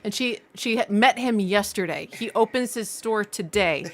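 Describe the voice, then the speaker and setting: Mid-pitched woman co-hosting, incredulous retelling. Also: moderate pace. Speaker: livestream co-host. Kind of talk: casual chat, comic movie review.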